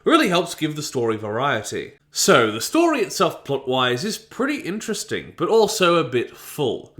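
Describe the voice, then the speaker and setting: A man narrating a scripted review of an audio drama, opinionated and with sudden jumps in pitch for emphasis. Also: bright, forceful tone.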